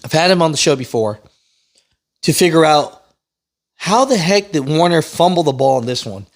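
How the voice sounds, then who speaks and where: A man's voice: fairly high pitch, lively intonation, normal speed. A man hosting a news channel, reading and explaining in a casual, opinionated, conversational way. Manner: conversational, opinionated, often checks in with "right?" and "you know". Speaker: host of a trucking news channel.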